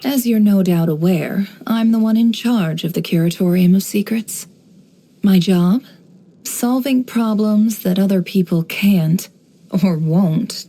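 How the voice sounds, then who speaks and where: Mellow, wry, knowing woman spymaster. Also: lowish voice. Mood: self-assured, composed. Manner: composed, wry, knowing, measured. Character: shrewd, worldly woman who runs an intelligence business. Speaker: video game character voice actor. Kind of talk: scripted game character voice lines, monologue.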